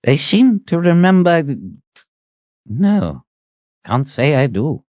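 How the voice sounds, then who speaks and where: A man's voice: a little high, very animated, slow, a little mellow, no echo, lo-fi sound, voice pushed up. A man voicing an old wizard grandfather with an intense, brooding manner and an explosive temper, in a dramatic audiobook reading. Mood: bewildered.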